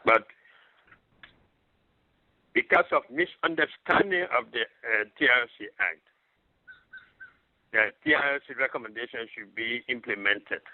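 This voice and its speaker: A man guest on a radio show, explaining in a deliberate, lecturing way with measured pauses. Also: mid-range voice, no room sound. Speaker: guest, religious leader. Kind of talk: radio interview answers. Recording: tinny phone line.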